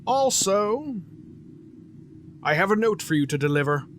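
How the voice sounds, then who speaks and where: A man acting an aloof, commanding pony who rules a theater in an audio drama, speaking in a dry, imperious, measured way. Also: sits high, very animated, a bit bright, slight background noise, heavily acted. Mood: brusque. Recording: music bed behind the voice.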